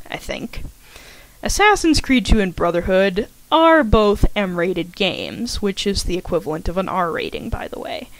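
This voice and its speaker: A woman's voice: medium pitch, creaky, no room sound, poor audio. A woman giving an informal scripted introduction to an audio story, chatty and full of self-aware little asides. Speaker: fan fiction author and podfic narrator.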